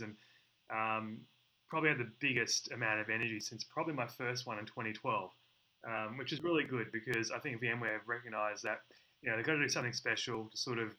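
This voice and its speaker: Male co-host, very bright and tense tone, enthusiastic rambler. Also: mid-range voice, rough recording. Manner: enthusiastic, rambling, many "you know"s. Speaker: podcast co-host. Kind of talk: casual podcast chat.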